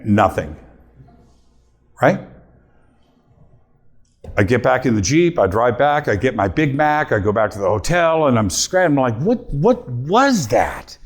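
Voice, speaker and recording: A middle-aged man speaks to a live audience in a voice of ordinary middle pitch. He tells his story with animation and acts out the lines he quotes. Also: big pitch swings, unhurried, pauses often. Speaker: radio host giving a talk.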